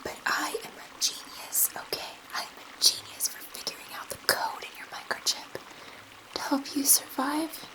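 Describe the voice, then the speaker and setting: A woman voicing a gentle, curious alien scientist in a soft, breathy ASMR roleplay whisper, murmuring reassuringly to one listener. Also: high voice, little pitch movement, normal speed, natural voice, low-quality recording. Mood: dreamy wonder.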